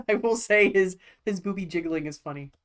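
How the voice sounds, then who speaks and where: A woman's voice: medium pitch, normal speed, heavy background noise. A woman streamer giving blunt, profane, exasperated reaction commentary.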